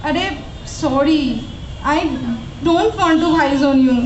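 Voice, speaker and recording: A muffled, echoey recording of a woman acting a young college girl in an audio drama. Her voice is high, and she comes across as sulky, sharp-tongued and vulnerable. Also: extremely slow, a little hiss or hum. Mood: mock-annoyed, softening. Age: young adult. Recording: dull echoey recording under music.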